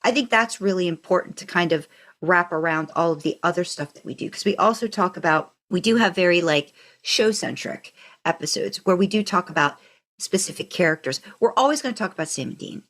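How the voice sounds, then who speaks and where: A woman's voice: on the low side, lively intonation, fairly quick, fairly bright tone. A woman co-hosting a podcast in casual fan chat, talking in a reflective, rambling way that circles back mid-thought. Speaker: podcast co-host.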